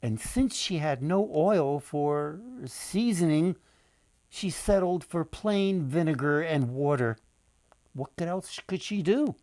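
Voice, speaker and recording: An older man tells stories to children as their author. He speaks slowly and deliberately, with theatrical pauses, in a dry, close recording. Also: a little high, animated, a little mellow, no room sound. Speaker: author and storyteller. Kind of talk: storytelling read-aloud for children.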